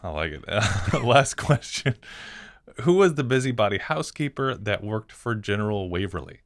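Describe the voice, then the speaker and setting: A man's voice: on the low side, very animated, normal speed, no echo, studio quality. A man co-hosting a podcast, chatting casually with a guest and the listeners. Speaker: podcast co-host. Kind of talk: casual chat.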